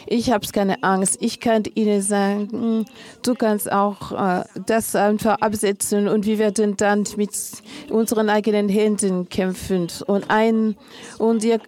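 A woman speaking on a panel, defiant and plain, with halting phrasing. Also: medium pitch, darkish tone. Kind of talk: public panel statement.

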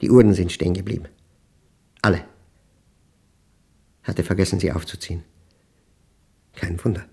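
An actor playing a paranoid, tormented man reading his obsessive diary in a German radio play, husky and intense, in clipped, brooding fragments. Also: deep voice, steady tone, moderate pace, pauses often, warm tone, exaggerated voice. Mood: weary, anxious.

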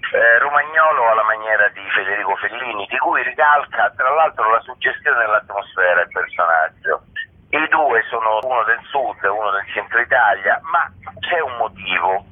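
A man's voice: lowish voice, expressive, normal speed. A man, a theatre director, giving interview answers over a tinny phone line.

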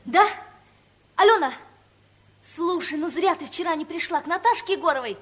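An actress playing a chatty, breezy young secretary in a radio comedy, gossiping in colloquial speech like a know-all. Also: female voice, very high-pitched, lively intonation, normal speed, a bit bright, a little hiss or hum. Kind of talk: radio comedy play acting.

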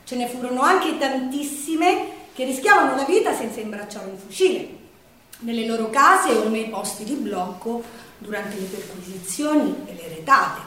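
A woman's voice: a little high, animated, moderate pace, bright, forceful tone, a little hiss or hum. A woman performing a theatrical narration in a declamatory way. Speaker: stage performer. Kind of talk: theatrical storytelling on the wartime Resistance. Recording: very echoey stage, distant mic.

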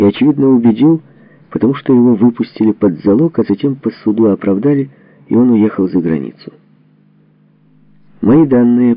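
A man reading a historical book as a narrator, in a measured, formal delivery, working through letters and documents. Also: lowish voice, no room sound, narrow, tinny audio. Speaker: audiobook narrator.

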